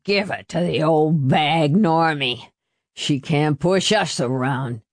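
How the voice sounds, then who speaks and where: Woman doing a slow, mumbling drunken father. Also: low-pitched, dry recording. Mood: goading. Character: drunken, whining, vile-tempered father, helpless and pitiful.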